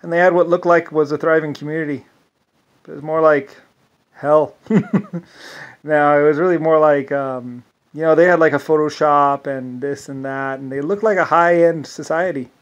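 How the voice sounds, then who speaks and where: A man's voice: a little high, steady tone, moderate pace, dull audio. A man telling a long, meandering solo monologue, restarting often and circling back with repeated asides.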